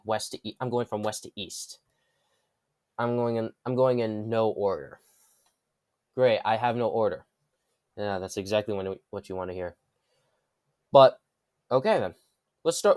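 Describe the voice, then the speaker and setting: A man with a stuffy, congested voice talks through political commentary at an ordinary middling pitch. He rambles, breaks off mid-phrase to fix himself, and slips in dry, sarcastic remarks. The sound is dead and close, with no room around it. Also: no room sound. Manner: rambling, with frequent restarts and self-corrections. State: congested from a cold. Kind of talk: casual explainer commentary.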